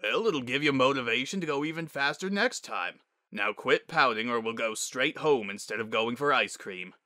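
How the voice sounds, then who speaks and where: A man's voice: medium pitch, expressive, pushed and bright, dry recording, studio quality. A man voicing a middle-aged Pegasus father, stern but tender-hearted, in an audiobook-style story. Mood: gentle, firm. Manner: stern, halting when moved.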